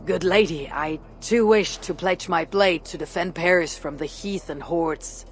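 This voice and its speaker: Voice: medium pitch, measured pace, a little hiss or hum, close to an everyday voice. An actor as a composed Viking warrior in a video game. The delivery is formal and blunt, in a reverberant space with faint ambience. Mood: polite, guarded. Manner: formal, direct. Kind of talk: dramatic video game acting.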